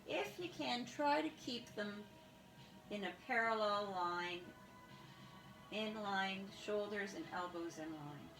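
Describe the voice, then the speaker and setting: A woman leading a guided yoga class, giving calm, step-by-step instructions. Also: medium pitch, takes it slowly. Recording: echoey room with music behind. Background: music.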